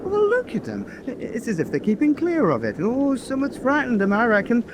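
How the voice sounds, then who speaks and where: An actor playing a nervous, superstitious young country corporal in a radio drama, hesitant and stammering. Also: male voice, extremely high pitch, sing-song, a little fast, background noise. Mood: uneasy.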